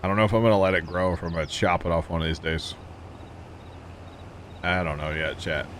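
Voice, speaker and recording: A man's voice: low-pitched, steady tone, a little fast, fairly bright tone, a little hiss or hum. A man chatting casually with his stream viewers while he plays, telling rambling jokey stories and chuckling often. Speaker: livestream gamer. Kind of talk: casual livestream chat with viewers.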